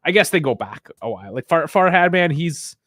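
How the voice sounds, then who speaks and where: A man's voice: fairly high pitch, sing-song, brisk, a bit bright, no echo, studio quality. A man co-hosting a football podcast in a casual chat, teasing his partner, laughing often and making show announcements.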